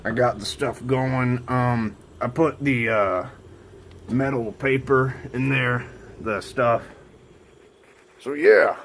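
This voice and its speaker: A man with a heavily gravelly, fry-laden voice hosts a casual homemade how-to. His delivery is halting and unsure, rambling along and often trailing off. The pitch sits in an ordinary male middle range. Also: fairly bright tone, a little hiss or hum. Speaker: host of a DIY video. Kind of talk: casual, improvised how-to demonstration.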